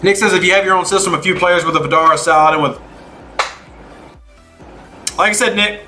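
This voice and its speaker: A man's voice: high voice, fairly quick, sharp tone, soft background music. A man hosting a game livestream, chatting casually and informally as he answers viewers by name.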